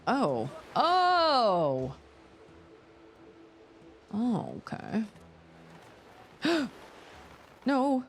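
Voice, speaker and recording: A woman's voice: on the high side, huge pitch swings, extremely slow, long pauses, slight background noise. A woman in her thirties chatting casually and rambling while she plays a video game, often breaking off to exclaim at the screen. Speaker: streamer.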